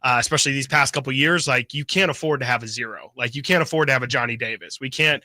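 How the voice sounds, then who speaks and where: Man, sports radio panelist, explanatory, bright tone, dry sound. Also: mid-range voice, quick pace, no room sound. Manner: explanatory, leans on "like" and "you know". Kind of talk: sports talk radio discussion.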